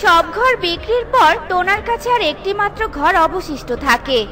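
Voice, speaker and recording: A woman's voice: very high-pitched, animated, measured pace, bright, forceful tone, soft background music, full character voice. A woman reading scripted storybook narration for a children's cartoon.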